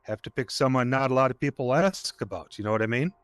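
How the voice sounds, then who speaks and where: An actor playing a protective, guilt-ridden deputy father in an audio play, speaking tenderly and haltingly with a stern edge. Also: mid-range voice, fairly bright tone, smooth, no echo, close to an everyday voice. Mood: tender, reassuring. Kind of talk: dramatic audio theatre acting.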